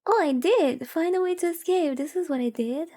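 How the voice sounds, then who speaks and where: High-voiced, mellow woman streamer. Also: moderate pace. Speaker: VTuber streamer. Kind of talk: casual chat while playing a visual novel. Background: game music.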